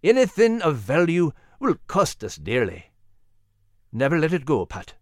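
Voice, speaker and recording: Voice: mid-range voice, wildly swooping pitch, measured pace, dry recording, clean studio sound. A narrator voicing a solemn old father giving instruction.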